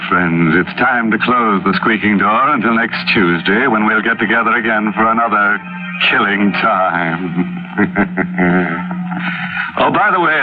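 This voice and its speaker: A man's voice: fairly low pitch, narrow, tinny audio. A man playing a ghoulish, sardonic horror host with a sly, mock-polite manner, in 1940s radio style on a scratchy old broadcast. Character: ghoulish, sardonic male horror-show host cracking puns.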